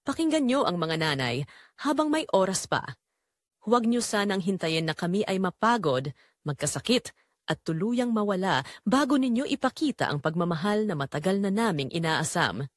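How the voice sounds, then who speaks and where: A woman's voice: medium pitch, big pitch swings, normal speed, no echo, clean studio sound. A woman playing a dying, long-suffering mother, narrating her own audio drama in a solemn, pleading, tender way. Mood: pleading, sorrowful. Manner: solemn, sorrowful, pleading storytelling.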